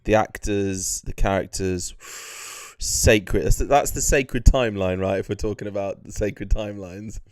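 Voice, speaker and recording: A man's voice: fairly low pitch, steady tone, a little slow, no room sound, clean studio sound. A man co-hosting in a casual chat, with a blunt, sweary way of talking.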